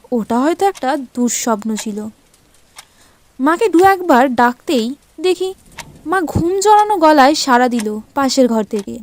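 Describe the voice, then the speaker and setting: A woman narrating a first-person horror story in a suspenseful, theatrical way with dramatic pauses. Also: high voice, darkish tone. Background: music.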